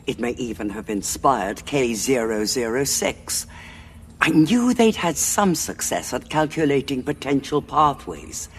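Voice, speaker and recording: Olestra, a cold war cardinal. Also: female voice, very deep voice, big pitch swings, a little hiss or hum, heavily acted. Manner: measured, aloof and authoritative. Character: cold scheming woman war cardinal, commanding. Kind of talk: audio drama acting. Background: music score.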